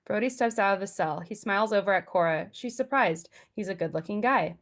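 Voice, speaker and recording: A woman's voice: medium pitch, brisk. A woman narrating stage directions from a script at a table read.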